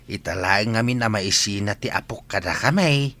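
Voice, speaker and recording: A man's voice: on the low side, moderate pace, a bit bright, natural voice. An actor playing an elderly, worried, deferential grandfather in a Filipino-accented radio drama, speaking plaintively. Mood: polite, worried.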